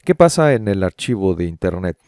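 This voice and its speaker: A man's voice: deep voice, big pitch swings, fairly quick, a little mellow, clear, resonant tone, no room sound. A middle-aged man hosting a video, explaining things casually and speaking directly to the viewer into a close studio microphone. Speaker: YouTube host. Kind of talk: explanatory screen-share commentary, casual. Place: small home room with shelves and framed pictures. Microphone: close studio condenser microphone on boom arm.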